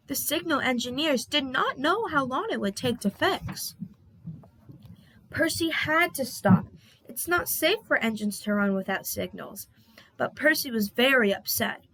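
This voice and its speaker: A woman reading a children's storybook aloud as an expressive narrator. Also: high voice, rough recording. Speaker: storybook reader.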